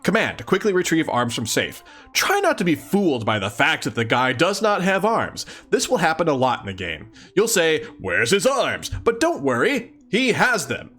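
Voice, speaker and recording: A man's voice: fairly high pitch, wildly swooping pitch, moderate pace, few pauses, a bit bright, faint music behind. A man doing a casual live read-along of a comic, reading expressively and adding mock-incredulous asides. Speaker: streamer reading a comic aloud.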